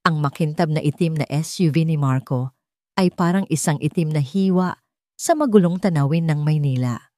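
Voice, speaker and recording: A Filipino woman narrates a dramatic audiobook story. Her voice sits low, and the recording is dry and close, with scripted phrasing throughout. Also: moderate pace, mellow, no echo.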